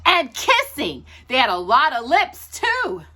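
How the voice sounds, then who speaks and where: Woman, children's storybook reader, highly animated, bright, playful, expressive. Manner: animated, drawn-out delivery with playful asides to a child. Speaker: storytime host reading a picture book aloud.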